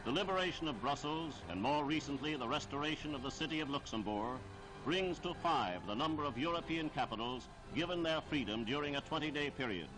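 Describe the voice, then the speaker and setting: A man reading scripted 1940s newsreel narration in a formal, declamatory, measured delivery. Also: on the high side, lively intonation, low-quality recording. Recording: 1940s archival newsreel soundtrack with music.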